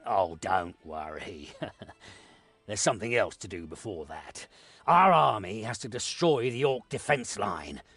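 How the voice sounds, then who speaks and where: A man playing a shrewd, commanding war leader in a fantasy game, measured and authoritative. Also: fairly low pitch, lively intonation, fairly bright tone, breathy edge, no room sound, heavily acted. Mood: resolute scheming.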